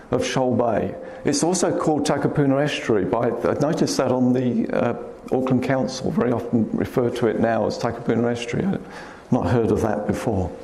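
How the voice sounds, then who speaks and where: This is a man with a quiet, gentle voice, giving a talk in a hall. His tone is mellow and his words ring in a roomy space. A faint hum sits behind him. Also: mid-range voice. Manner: gentle, pointing out places on slides. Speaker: guest speaker, wildlife photographer. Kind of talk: public talk with slides. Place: hall at a club meeting. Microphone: speaker's microphone. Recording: echoey hall, faint room noise.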